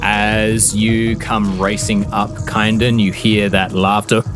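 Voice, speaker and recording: A man's voice: fairly low pitch, level tone, takes it slowly. A man narrating a tabletop game as game master, in a theatrical, suspenseful storytelling manner. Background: background music.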